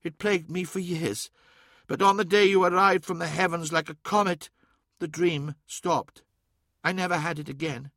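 A man's voice: fairly high pitch, fairly even intonation, moderate pace, a bit bright, no room sound, studio quality, voice pushed up. A man voicing an anguished male sorcerer who pleads urgently and fiercely defends his home. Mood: grieving. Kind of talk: audiobook character voice.

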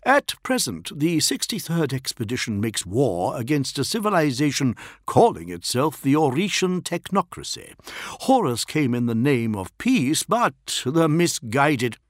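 A man voicing a foppish, deferential imperial envoy in an audiobook, careful and uneasy, with smooth formal phrasing. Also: mid-range voice, very animated, a little slow, no room sound, clean studio sound, voice pushed up. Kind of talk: dramatic audiobook character voice.

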